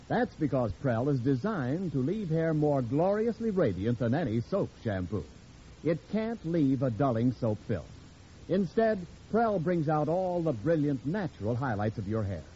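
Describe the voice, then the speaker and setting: A male announcer from vintage radio, selling with polished emphasis. His mellow, fairly high voice rises and falls freely on a muffled old recording. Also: slight background noise. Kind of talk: 1940s scripted radio advertising.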